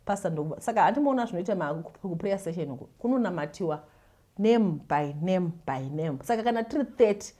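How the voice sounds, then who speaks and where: A woman's voice: lowish voice, lively intonation, fast, warm tone. A woman with a Zimbabwean accent hosting a show, telling stories and giving advice casually, with repeated words and acted-out retelling. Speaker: host reading listener confessions and giving advice.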